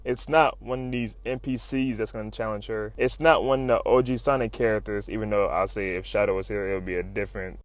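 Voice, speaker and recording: A man's voice: fairly low pitch, fairly even intonation, fast talker, few pauses, no echo. A man giving a casual, slangy commentary with swearing and fannish exclamations, retelling a story like a fan talking to friends. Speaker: YouTube comic recap narrator. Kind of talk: casual hype commentary, retelling comic fights. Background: music under the voice-over.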